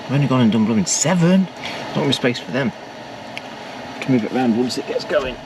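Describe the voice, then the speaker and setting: A man's voice: mid-range voice, lively intonation, brisk. A man in his forties with an English accent, chatting casually to viewers while cooking breakfast in a camper van. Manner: chatty, self-mocking asides to viewers. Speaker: vanlife vlogger. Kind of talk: casual cooking vlog narration. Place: inside a small camper van. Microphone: camera mic across a small table. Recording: distant mic with loud background noise.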